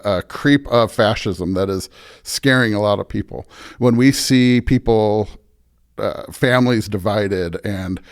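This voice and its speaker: An American man speaking as a pastor in an interview, reflective and earnest, with emphatic, preacher-like repetition. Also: lowish voice. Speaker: pastor, podcast guest.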